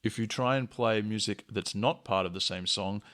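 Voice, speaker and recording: A man narrating a software tutorial in a measured, instructional way. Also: on the low side, very noisy. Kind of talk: explanatory screencast tutorial narration.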